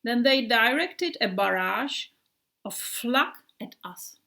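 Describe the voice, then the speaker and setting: A woman voicing a male bomber airplane who tells his wartime tale in a slow, dreamy way with hushed passages. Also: a little high, lively intonation, vocal fry, exaggerated voice. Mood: dramatic.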